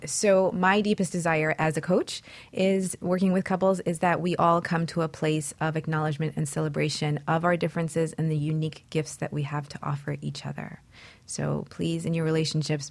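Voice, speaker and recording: A woman's voice: sits low, gravelly. A woman hosting a talk show in a conversational way, speaking as a relationship coach.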